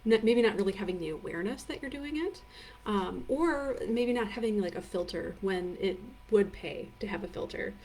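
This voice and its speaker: A woman's voice: lowish voice, a little hiss or hum. Chatty woman teaching, a bit far off. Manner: chatty and warm-hearted, many fillers like "um" and "like"; reads viewer comments aloud and answers them. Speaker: energy healing teacher. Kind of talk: live online mini training, casual teaching. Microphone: live video stream.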